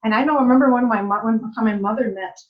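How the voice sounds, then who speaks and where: A woman's voice: a little high, racing. A woman guest in a personal conversation, reflective and affirming, filling her speech with "like" and "you know". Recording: muffled lo-fi recording.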